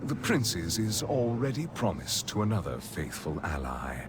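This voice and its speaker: A man's voice: sits low, big pitch swings, a little slow, noisy background. A man playing a grandiose, manipulative aristocratic vampire master in a video game, speaking in a pompous, silky, theatrical manner. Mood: commanding. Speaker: ruler addressing subjects. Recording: dramatic orchestral music behind.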